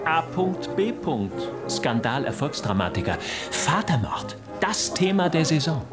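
Gewandter Funktionär, laute Musik. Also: male voice, a little high, sing-song, a little slow, over loud music. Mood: wry. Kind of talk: radio drama acting.

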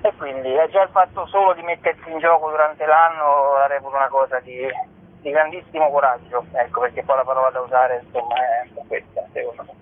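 A man's voice: mid-range voice, fairly even intonation, a little fast. A man speaking as an interview guest on a radio show, explaining at length in a discursive way over a telephone. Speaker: musician and theatre author, interview guest. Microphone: phone call to a radio show. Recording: narrow telephone-band sound.

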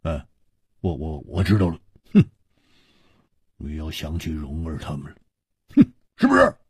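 Storybook role: blunt, excitable hard-drinking wanderer played by a male narrator, very husky, wide swings in pitch. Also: a little slow, dry recording, studio quality, full character voice. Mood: teasing, excitable. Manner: sighing, muttering, full of interjections. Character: hearty, hard-drinking adventurer man, blunt, sentimental, excitable. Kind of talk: audiobook character voice.

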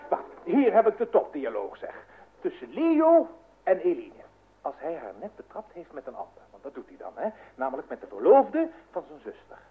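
An actor playing an excitable, bashful young son in a radio play, hesitant, flustered and self-important. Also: male voice, fairly high pitch, normal speed. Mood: eager, self-important. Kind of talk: Dutch radio play acting. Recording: tinny band-limited old radio recording, noisy.

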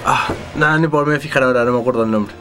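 A man's voice: mid-range voice, very fast, a bit bright, soft background music. A man chatting casually as a co-host on a radio show. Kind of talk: casual chat.

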